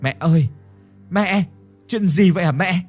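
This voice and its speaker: Man voicing worried young son, gentle, raised pitch, husky, concerned. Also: unhurried, soft background music. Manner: soft, concerned.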